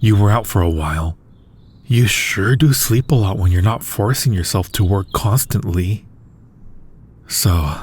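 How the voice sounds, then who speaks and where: Low-voiced, dryly caring supervillain played by a man. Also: a little mellow, slightly rough. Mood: teasing, caring. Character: supervillain man gently caring for his exhausted hero nemesis. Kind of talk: ASMR audio roleplay acting.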